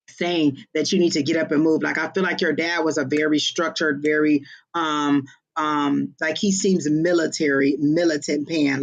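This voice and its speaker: Psychic medium on a live stream: chatty, exclamatory, halting with fillers; mid-pitched, dull lo-fi sound. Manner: expressive, exclaims often, halting with many fillers. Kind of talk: live-streamed reading, casual chat.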